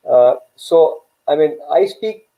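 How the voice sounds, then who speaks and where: A man's voice: a little high, lively intonation, slow pace, dark tone. A man whose first language is Urdu hosts a live online talk, rambling and repeating words as he thinks. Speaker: host. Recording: tinny phone-like line.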